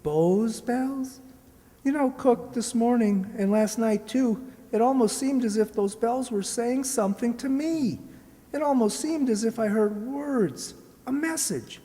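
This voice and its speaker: A woman's voice: a little high, measured pace, mellow, close to an everyday voice. A woman playing a polite, earnest poor boy in an amateur radio play, hesitant and deferential. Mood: respectful, hesitant. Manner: deferential, hesitant, eager to please. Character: polite, earnest, humble poor boy seeking work in London. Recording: echoey hall, long reverb.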